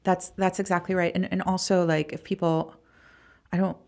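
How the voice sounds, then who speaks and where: A woman from Costa Rica with a very low, noticeably creaky voice. She talks informally as an interview guest, halting often and repeating words for emphasis. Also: level tone, a little mellow. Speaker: podcast guest, venture fund founder. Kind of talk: casual interview conversation.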